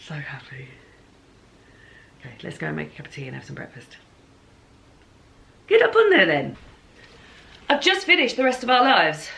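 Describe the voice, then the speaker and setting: A woman's voice: mid-range voice, vocal fry, a bit bright, a little hiss or hum. A woman chatting casually in a home vlog diary about her plans and reading, rambling and correcting herself as she goes. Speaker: book vlogger.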